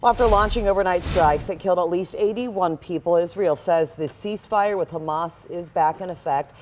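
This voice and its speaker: A woman's voice: on the low side, moderate pace, a little mellow, a little hiss or hum. A woman with an American accent reading scripted morning news as an anchor.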